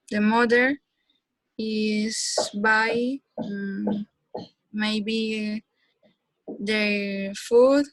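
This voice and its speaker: A woman's voice: mid-range voice, no room sound, poor audio. A teenage girl from Colombia with a Spanish accent, answering hesitantly in a speaking exam with many fillers. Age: teens. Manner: hesitant, halting learner English with fillers. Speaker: exam candidate.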